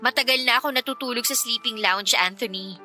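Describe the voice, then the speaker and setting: A woman's voice: fairly high pitch, a little fast, bright, forceful tone, soft background music. A woman playing a self-sacrificing young working woman in a Tagalog radio drama, earnest, emotional and firm. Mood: sad, resigned.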